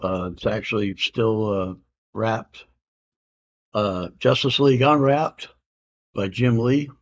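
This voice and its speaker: A man's voice: lowish voice, takes it slowly. A man in his fifties casually showing off comic books at home, rambling with lots of fillers and easygoing slang. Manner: relaxed, rambling, many "uh" fillers, says "man" and "I can dig it". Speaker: comic collector showing an auction win. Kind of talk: casual unboxing chat. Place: home room with comic art on the walls. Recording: dull lo-fi home camera audio.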